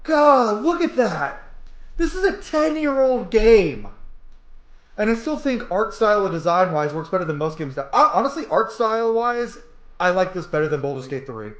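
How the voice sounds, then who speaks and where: Guy doing game commentary, kinda high voice, gushes and rambles, super casual, echoey room. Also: lively intonation, normal speed, a bit bright. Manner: effusive, rambling, informal. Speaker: gamer doing a playthrough. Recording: roomy, echoey voice pickup.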